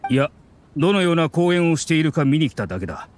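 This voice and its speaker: A man's voice: on the high side, sing-song, slight background noise. Stern, reserved middle-aged scholar father. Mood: reserved, matter-of-fact. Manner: stiff, measured, restrained. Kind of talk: Japanese anime game dub.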